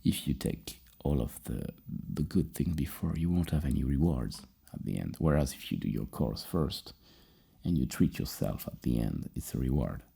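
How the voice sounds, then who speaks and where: Super low mellow guy doing soft asmr therapist talk, kinda rambling and hesitant. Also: lively intonation, moderate pace, creaky, slightly rough. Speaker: ASMR performer playing a psychologist.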